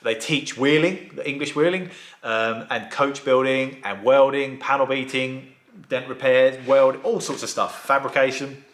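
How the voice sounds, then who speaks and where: A man who restores classic cars, chatting casually in a vlog, with a croaky voice from a sore throat. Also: medium pitch, steady tone, a little slow, a bit bright, roomy sound. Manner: chatty, rambling, enthusiastic. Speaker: vlogger and car restorer.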